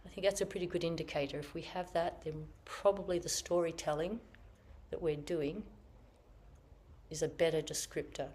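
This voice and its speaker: A woman Zen teacher giving a dharma talk in slow, reflective, searching phrases, her voice a little croaky after being ill. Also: low voice, fairly even intonation, a little mellow. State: slightly croaky from illness. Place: meditation hall with online audience.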